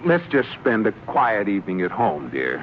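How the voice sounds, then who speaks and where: An actor playing an anxious, henpecked American husband in an old radio sitcom, stammering and exasperated. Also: male voice, on the low side, wildly swooping pitch, moderate pace. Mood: flustered, pleading. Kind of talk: 1940s-50s radio comedy acting. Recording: dull, noisy old radio broadcast recording.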